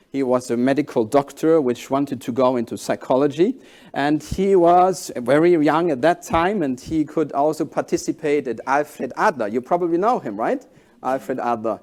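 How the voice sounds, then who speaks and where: A man in his forties whose first language is German, giving a conference keynote in halting English with animated storytelling. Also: fairly high pitch. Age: 40s. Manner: animated storytelling, halting non-native phrasing. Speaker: keynote speaker.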